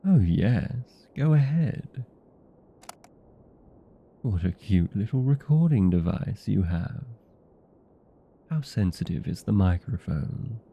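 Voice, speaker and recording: A man playing a vain, playful, chatty old vampire in a comedic audio roleplay, with a gravelly, husky voice and theatrical, courteous manner. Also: fairly low pitch, animated, takes it slowly, long pauses, highs cut off, heavily acted. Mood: earnest, chatty.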